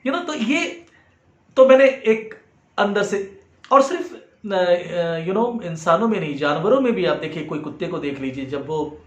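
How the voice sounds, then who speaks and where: Man, Indian accent, slightly high voice, slow explanatory delivery, roomy echo. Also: expressive, muffled recording. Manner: measured, demonstrates points with acted example phrases. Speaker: music teacher and video host. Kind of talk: explanatory lesson talk. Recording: roomy, echoing sound.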